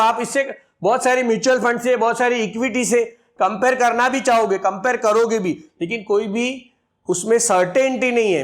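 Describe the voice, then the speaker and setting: A man in his forties, a financial advisor, explaining an insurance plan to viewers step by step and calling them friends. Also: very high-pitched. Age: 40s. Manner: addresses viewers as friends, works through figures step by step.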